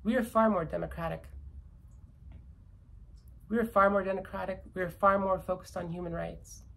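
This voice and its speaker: A Canadian woman with an accent she traces to British ancestors, ranting about politics in casual chat. She repeats herself for emphasis, and her voice has a gravelly, creaky edge. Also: fairly low pitch, fairly even intonation, moderate pace, rough recording. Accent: British-descended Canadian. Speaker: commentator.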